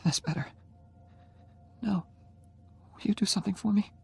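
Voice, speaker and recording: A man's voice: high-pitched, normal speed, gravelly, husky, voice pushed up, exaggerated voice. A man voicing a dying young woman in an audiobook, mocking and bossy with tenderness underneath. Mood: tender. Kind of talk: audiobook character reading.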